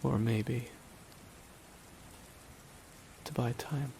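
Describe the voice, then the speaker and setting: A man playing a bitter, weary supervillain in a dramatic ASMR audio roleplay, speaking deliberately with long pauses to a dying nemesis. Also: mid-range voice, gravelly. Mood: wry, probing. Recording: steady rain noise behind the voice. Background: rain falling on surfaces.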